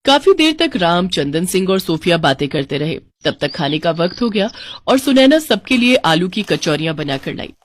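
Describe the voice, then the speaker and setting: A woman's voice: on the low side, very animated, moderate pace. A woman with an Indian accent reading scripted narration for a moral story.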